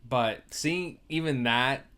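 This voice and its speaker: Bright-voiced man chatting on a podcast. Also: medium pitch, slow pace, clean tone. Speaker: podcast co-host. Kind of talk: casual film review chat.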